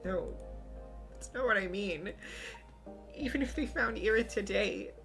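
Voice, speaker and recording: A performer voicing a fragile, self-loathing Soviet schoolgirl with halting, formal speech in a visual novel reading. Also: creaky. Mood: tearful, pleading. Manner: halting, tearful, breaks into strained high outbursts. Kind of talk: visual novel voice acting, first-person narration. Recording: music behind the voice.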